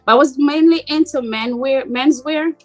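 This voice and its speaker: A middle-aged woman with a slight Jamaican accent, talking about herself in a relaxed, rambling way as an interview guest. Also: high-pitched, normal speed, soft background music. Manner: relaxed, rambling, frequent "like" fillers. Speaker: boutique owner guest. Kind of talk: casual interview chat.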